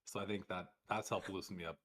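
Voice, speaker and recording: A man's voice: sits low, steady tone, fast, a bit bright. A man chatting casually as a panelist on a hobby podcast. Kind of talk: casual chat.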